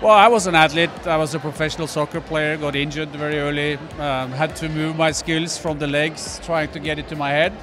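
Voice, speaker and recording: A man speaking as a business executive on a red carpet, measured and modest, with frequent hesitations. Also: on the high side, steady tone. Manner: measured, modest, with many uh's. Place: indoor event red carpet with music. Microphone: handheld mics held by interviewers. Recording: noisy event with loud music.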